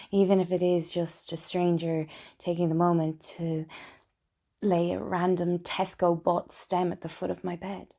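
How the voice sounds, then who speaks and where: A woman playing a lonely, wry, broken woman confiding in Death in an intimate, hesitant theatrical monologue, with a husky, breathy voice. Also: on the low side, dark tone. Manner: confessional, self-deprecating, hesitant. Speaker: writer and performer of a one-woman play.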